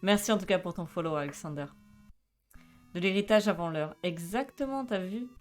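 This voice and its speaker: Woman in her 20s chatting away on stream, kinda low voice, says euh a lot, quiet music in the back. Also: normal speed. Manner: chatty, many fillers, reads chat aloud and laughs often. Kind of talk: casual live-stream chat.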